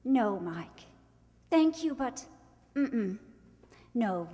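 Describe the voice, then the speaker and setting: A woman's voice: a little high, very animated, very slow, warm tone, exaggerated voice. A woman playing a proud, witty young society bride in a radio play, theatrical and emotional. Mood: gentle, regretful. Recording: stage hall reverb, faint music.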